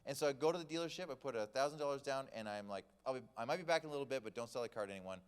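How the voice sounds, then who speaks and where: A man's voice: mid-range voice, very fast, smooth. A man giving a casual, joking sermon, telling stories to the congregation. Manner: chatty, joking storyteller. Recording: long reverb of a hall.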